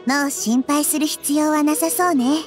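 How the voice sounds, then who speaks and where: A woman voicing a wise, gentle child-like nature deity in an anime game, calmly and thoughtfully explaining in a soft girlish voice. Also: sits high, moderate pace, over music, natural voice. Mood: calm, reassuring.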